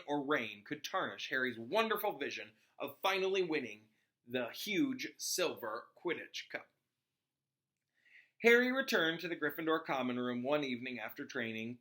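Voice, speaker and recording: A man narrates a children's novel like a classroom teacher. His voice is very bright and forceful, and his expressive reading moves through a lively range of pitch. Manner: explains hard words in simpler terms.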